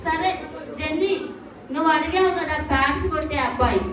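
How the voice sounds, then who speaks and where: A woman's voice: sits high, a little fast, a crowd in the background. A woman presenting a live children's puppet show as the teacher, prompting and encouraging the children. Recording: echoey crowded hall PA, distant, loud music.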